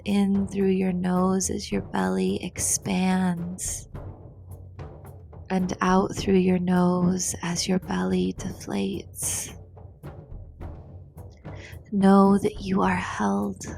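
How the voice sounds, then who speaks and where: A woman leading a guided meditation in a soothing, deliberate, breathy voice, pausing between short phrases. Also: mid-range voice, warm tone. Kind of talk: guided meditation narration, slow and breathy with whispered phrase endings. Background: meditation music.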